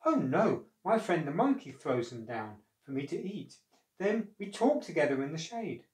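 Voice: mid-range voice, sing-song, moderate pace, darkish tone, natural voice. Storyteller doing a flustered, stammering male crocodile. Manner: stammering, hesitant. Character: soft-hearted, flustered male crocodile, eager to please. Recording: roomy echo, distant microphone.

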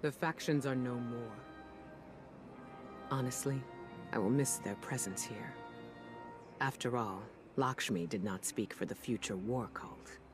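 An actress playing a composed, regretful middle-aged woman leader, speaking gravely and with measure in a video game. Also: very deep voice. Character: composed, regretful female military spymaster and leader. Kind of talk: dramatic video game monologue.

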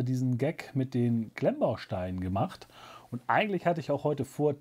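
A man's voice: mid-range voice, dark tone, breathy edge. A man in his forties presenting a casual shop show to camera, chatting in a rambling, jokey, self-ironic way. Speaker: shop owner presenting a weekly web show. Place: small cluttered indoor room.

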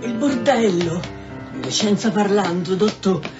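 An actress in a radio drama playing a flustered, squeamish brothel madam who talks in halting, colloquial stammers. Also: female voice, mid-range voice, music in the background, lightly acted. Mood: evasive, fussy. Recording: dull, noisy radio drama recording.

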